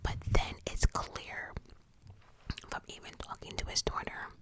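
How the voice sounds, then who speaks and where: A woman whispers the whole way through, breathy and hushed. She tells her story in casual, blunt talk, swearing freely and filling gaps with "like" and "you know.". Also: measured pace. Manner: casual and profane, many fillers.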